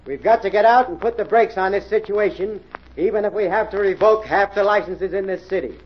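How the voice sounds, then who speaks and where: An actor with an American accent playing a stern, authoritative police inspector in a 1940s radio drama. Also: male voice, high-pitched, lightly acted. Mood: stern, commanding. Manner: brisk, authoritative, declamatory. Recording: muffled old radio recording.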